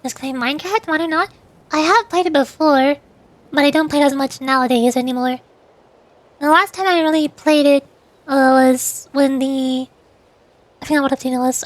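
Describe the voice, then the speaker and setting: A woman's voice: sits high, fairly even intonation, normal speed, no echo. A woman livestreamer chatting casually, a little hesitant and correcting herself as she goes. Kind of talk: casual livestream chat, reading out chat messages.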